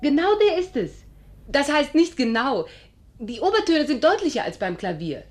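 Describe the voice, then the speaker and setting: An actress playing a sleepless, troubled and insistent patient in a 1950s German radio drama, speaking precisely and emphatically. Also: female voice, high-pitched, sing-song, normal speed. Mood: emphatic.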